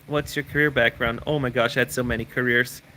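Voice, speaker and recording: A man who grew up in Switzerland, chatting loosely through a live question-and-answer stream. His voice is bright and forward, at an ordinary middle pitch. He rambles, restarts his sentences and repeats words as he reads out viewer questions and answers them. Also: steady tone, fairly quick, rough recording. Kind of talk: casual live Q&A chat.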